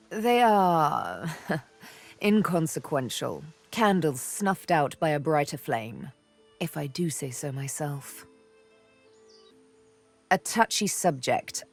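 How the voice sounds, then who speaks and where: A vengeful elf huntress from a fantasy video game, speaks low and slow over background music. She is arch and sardonic, placing each phrase with teasing, theatrical care. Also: heavily acted. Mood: wry, guarded. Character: sardonic, vengeful female elf, former slave turned huntress. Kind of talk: video game character dialogue.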